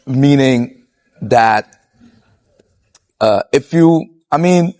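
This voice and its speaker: Young guy from curacao, mid pitch voice, chill and blunt, cusses a lot, chuckles while talking. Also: extremely slow. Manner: relaxed and blunt, swears freely, laughs while talking. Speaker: interviewee. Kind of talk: casual street conversation. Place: outdoors on a city street.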